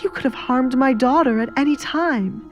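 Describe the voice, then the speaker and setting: A woman's voice: high voice, normal speed, soft background music, pitched above the performer's own voice. Woman acting a sly, haughty, menacing baroness. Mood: mock-wounded accusing. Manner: menacing, mock-wounded, haughty. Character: sly, cruel, scheming baroness, a married mother. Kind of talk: dramatic audio drama acting.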